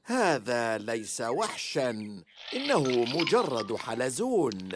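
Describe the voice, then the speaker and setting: A jolly old grandpa pig. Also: female voice, a bass voice, big pitch swings, slow pace, noisy background, heavily acted. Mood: explaining. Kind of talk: cartoon dub acting.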